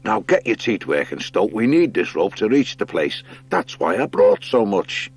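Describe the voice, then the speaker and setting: A man voicing a surly, scheming rat in a storybook, gruff and contemptuous. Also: fairly low pitch, very animated, normal speed, pitched above the performer's own voice, exaggerated voice. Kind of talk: audiobook character acting.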